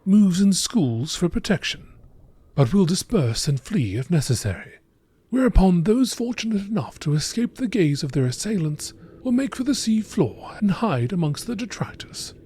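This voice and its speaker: A man reading scripted nature documentary style narration in a measured, informative way. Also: high-pitched, sing-song, mellow, breathy edge. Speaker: narrator reading game text.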